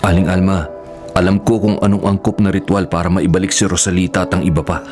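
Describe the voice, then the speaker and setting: An actor as a solemn, perceptive man in a radio horror drama. His voice is on the low side, earnest and deliberate, with music clearly behind him. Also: little pitch movement, close to an everyday voice. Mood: grave, explaining. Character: grave, perceptive man advising on spirits and rituals.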